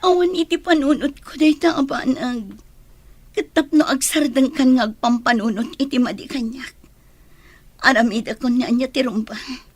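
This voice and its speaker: A woman playing a remorseful, heartbroken young woman in an Ilocano radio drama, speaking softly and apologetically. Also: sits high, normal speed, no echo. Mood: pleading, remorseful.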